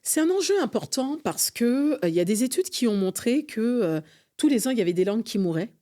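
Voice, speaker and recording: Warm-voiced, fervent middle-aged Frenchwoman. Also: a little high, sing-song, brisk. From France. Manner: earnest, fervent, frequent hesitations. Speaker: podcast guest, association vice-president. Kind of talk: conversational interview.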